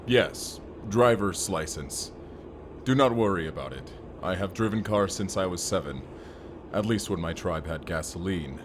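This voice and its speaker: A man's voice: low voice, background noise. A man playing an earnest wasteland barbarian turned corporate fighter in an audio roleplay, speaking in stilted, literal, short sentences. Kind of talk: immersive first-person audio roleplay acting.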